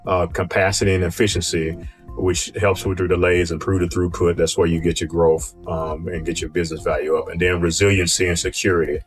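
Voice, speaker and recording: A man with a deep voice talks as a podcast co-host, with faint music behind him. He explains business matters in a loose, conversational way and often tags his points with a checking "right?". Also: little pitch movement, brisk.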